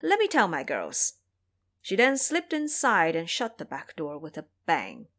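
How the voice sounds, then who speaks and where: A woman's voice: mid-range voice, a bit bright. A woman narrator telling a story in a dramatic storybook style for an audio drama.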